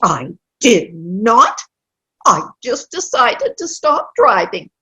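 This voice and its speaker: Lady playing grumpy complaining old woman, high voice that swoops all over, slow n dramatic, stage comedy reading. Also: wildly swooping pitch, bright, forceful tone, slightly rough, exaggerated voice. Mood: defensive, fussy. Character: cranky, complaining old woman Jean, sour and in pain. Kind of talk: play reading. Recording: video call play reading.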